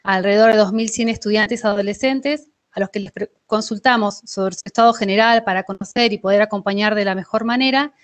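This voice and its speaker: A woman's voice: mid-range voice, fairly even intonation, a little fast, hardly any pauses. A woman in her thirties with an Argentine Spanish accent, presenting in an online talk as an organiser. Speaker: workshop organiser. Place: indoor kitchen at home. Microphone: earphone mic held near mouth, video call.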